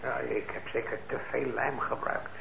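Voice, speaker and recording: An actor in a Dutch radio play playing a kindly, weary older instrument repairer, mild and hesitant, with a gravelly voice. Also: male voice, mid-range voice, flat delivery, normal speed. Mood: hesitant, flustered. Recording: muffled, noisy old radio recording.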